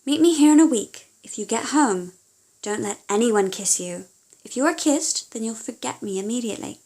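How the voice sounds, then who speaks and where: A woman's voice: a little high, expressive, normal speed, dark tone, poor audio. A woman voicing a brave, resourceful young storybook heroine who gives decisive, urgent instructions. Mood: earnest, insistent.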